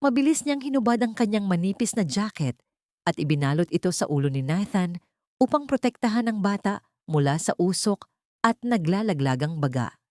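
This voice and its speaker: A woman's voice: medium pitch, lively intonation, moderate pace, darkish tone, no echo, studio quality. A woman with a Filipino accent reading a scripted story as a dramatic audiobook narrator.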